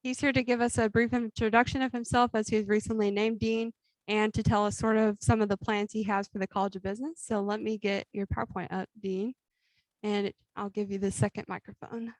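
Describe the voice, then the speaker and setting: A woman's voice: mid-range voice, flat delivery, no echo, poor audio. Young woman chairing a meeting. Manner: brisk procedural, lightly amused. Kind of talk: running a student government meeting. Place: meeting with a Zoom link.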